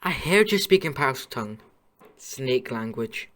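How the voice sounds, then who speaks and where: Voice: mid-range voice, big pitch swings, unhurried, fairly bright tone. An actor in an audio drama playing a blunt, startled schoolboy wizard with an incredulous way of talking.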